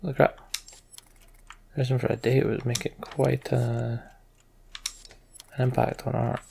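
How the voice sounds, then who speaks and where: Very gravelly male let's play host. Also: medium pitch, flat delivery, unhurried, a little mellow, a little hiss or hum. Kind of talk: casual gameplay commentary.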